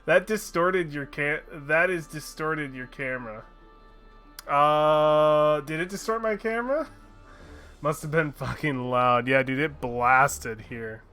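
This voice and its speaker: A man's voice: fairly high pitch, slow pace, pushed and bright, faint music behind. A man streaming a story game, joking and swearing freely in casual commentary. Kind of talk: casual let's-play commentary.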